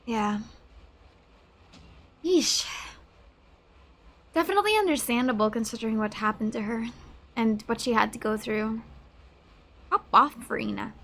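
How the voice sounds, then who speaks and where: High-voiced woman doing game commentary. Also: unhurried, pauses often, a bit bright. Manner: empathetic, reacts aloud to the story. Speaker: gaming YouTuber. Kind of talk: casual let's-play commentary.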